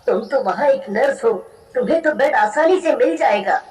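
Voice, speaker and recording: A woman's voice: high voice, animated, moderate pace, darkish tone. A woman voicing an anxious, devoted young husband in a cartoon story, earnest and pleading, in an echoey, distant recording. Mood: worried.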